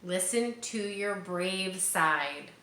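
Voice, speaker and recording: A woman reads a picture book aloud to children like a teacher, with expressive emphasis and chatty, encouraging asides. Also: on the low side. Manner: expressive, drawn-out emphasis on key words; encouraging, explaining to young listeners. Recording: roomy echo with background noise.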